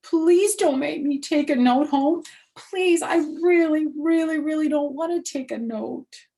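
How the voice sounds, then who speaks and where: A woman voicing an excitable young schoolgirl in a storybook, dramatic and cheeky, with childish, whiny phrasing. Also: high voice, a little slow, creaky, pitched above the performer's own voice. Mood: pleading panic. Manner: childish grammar, whiny pleading, hedging.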